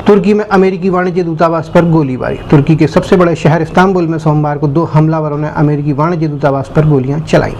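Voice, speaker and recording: A man presenting a scripted news bulletin in a formal, measured announcing manner. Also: a little high, steady tone, music in the background.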